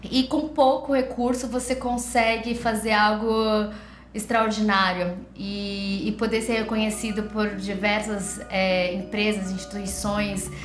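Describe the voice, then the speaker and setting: Brief: Brazilian woman, mid pitch, reflective with pauses, echoey room, faint music. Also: level tone. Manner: hesitant, reflective, frequent fillers. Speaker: entrepreneur. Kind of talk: documentary interview. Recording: roomy interview room.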